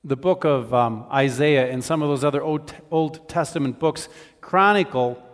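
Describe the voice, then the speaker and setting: A man giving a conversational sermon as a preacher, in a measured, explanatory way. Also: fairly high pitch. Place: large church hall. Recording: reverberant hall sound.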